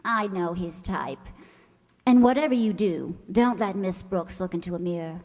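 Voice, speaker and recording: A woman's voice: lowish voice, normal speed, darkish tone, big-room sound. A woman playing a scheming, haughty schoolteacher rival, sly and condescending with false sweetness, in old-time radio comedy style.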